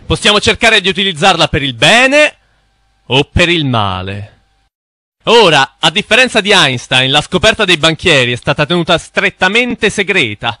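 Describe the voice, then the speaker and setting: A man's voice: a little high, very animated, moderate pace, bright, forceful tone, no room sound, studio quality, exaggerated voice. A man playing an intense, bitter cartoon crusader with a grave, preachy, dramatic delivery. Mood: ominous. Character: intense, bitter conspiracy-minded man on a crusade against bankers. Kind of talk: dubbed cartoon dialogue.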